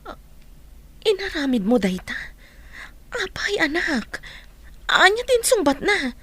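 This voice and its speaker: A woman's voice: very high voice, very animated, takes it slowly, a bit bright, dry recording, heavily acted. A woman playing a hurt, protective young child's mother in a radio drama, tender and sorrowful, with an Ilocano accent. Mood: surprised, questioning.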